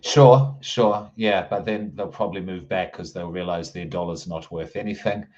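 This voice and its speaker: A man's voice: sits low, moderate pace, darkish tone, dull audio. A man from Australia and New Zealand with an Antipodean accent, chatting casually on a podcast panel and often tagging statements with a questioning "yeah". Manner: repeats short agreeing words, ends statements with "yeah?". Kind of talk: casual chat.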